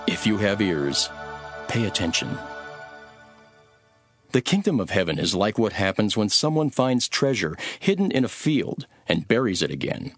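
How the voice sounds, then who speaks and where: Casting: male actor for calm, authoritative scripture teacher, lowish voice with lively intonation, solemn storytelling, music underneath. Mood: solemn warning. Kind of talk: dramatized scripture reading.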